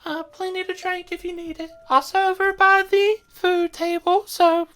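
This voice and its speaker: Woman as helpful creature medic for a roleplay game: very high pitch, casual, reassuring bedside manner. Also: little pitch movement, normal speed, fairly bright tone. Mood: friendly, reassuring. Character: helpful, attentive camp medic creature named Aldino. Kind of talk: tabletop roleplay in character.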